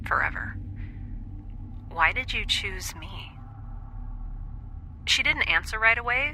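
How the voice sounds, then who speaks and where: A woman playing a wry, anxious young scientist in space, confiding haltingly as if recording a personal log for an audio drama. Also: fairly low pitch, pushed and bright, slight background noise, heavily acted, poor audio. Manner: conversational, halting, confiding, self-deprecating.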